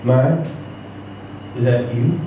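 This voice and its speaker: A man voicing a drunken, wistful small-time crook with a low drawl in a story reading. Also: drawn-out words, phone-quality sound. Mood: tipsy, wistful. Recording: roomy, echoing, distant mic, faint noise.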